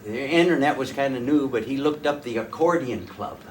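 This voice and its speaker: A man's voice: medium pitch, brisk. Older man telling family stories from afar. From rural northwest North Dakota. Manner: rambling, folksy, with frequent uh's and restarts. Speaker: folk fiddler giving a talk. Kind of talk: casual storytelling to a small audience. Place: room at a folk fiddlers' gathering. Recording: distant mic, faint room noise.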